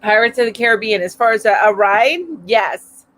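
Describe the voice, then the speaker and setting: A woman's voice: medium pitch, steady tone, moderate pace, fairly bright tone. A middle-aged woman hosting a casual live-stream chat from home, reading out viewer comments in a chatty, rambling way, a little stuffy from allergies. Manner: chatty and rambling, with frequent fillers and laughs. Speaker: host of a weekly live chat. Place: home, mountain area.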